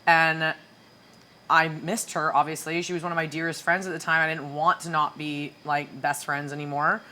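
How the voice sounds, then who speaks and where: A young woman from Canada with a naturally low voice, a singer chatting casually on a podcast, full of fillers and laughter. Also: flat delivery, normal speed, pushed and bright, slight background noise. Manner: chatty, laughs often, swears, many fillers. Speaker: guest singer.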